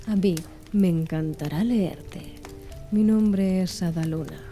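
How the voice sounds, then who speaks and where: Soft, mellow-voiced woman narrating an audiobook. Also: fairly low pitch, takes it slowly. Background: faint background music.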